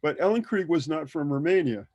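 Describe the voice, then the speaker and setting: Man, panelist, dry close sound, thinks aloud with halting phrasing, video call. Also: on the high side, expressive, a little mellow, no echo, muffled recording. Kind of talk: casual panel discussion.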